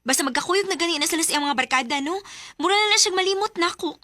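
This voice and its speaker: A woman's voice: very high voice, animated, fast talker, bright, forceful tone, no room sound, clean studio sound, heavily acted. An actress playing a young student, a devoted and needy girlfriend and daughter, in a Bisaya radio drama with a Cebuano Filipino accent. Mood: upset, complaining. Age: young adult.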